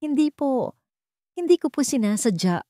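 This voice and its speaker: A woman's voice: sits high, very animated, normal speed, mellow, dry recording, clean studio sound, pitched above the performer's own voice. A woman voicing a frightened, meek young woman who speaks timidly and falteringly in an audio drama. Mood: pleading.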